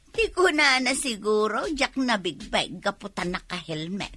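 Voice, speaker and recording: High, bright gossipy village wife played by an actress. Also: female voice, a little hiss or hum, full character voice. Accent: Ilocano. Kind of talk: Ilocano comedy radio drama acting.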